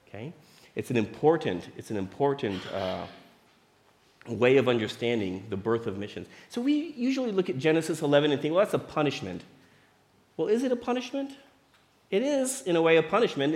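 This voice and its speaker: A middle-aged man preaching a sermon in a conversational way, asking the listeners rhetorical questions. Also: mid-range voice. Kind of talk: sermon teaching.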